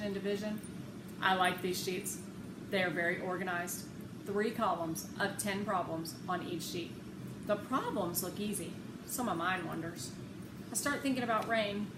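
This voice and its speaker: A woman narrating a children's novel aloud in an expressive storytelling style. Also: medium pitch. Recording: echoey room with clear background noise.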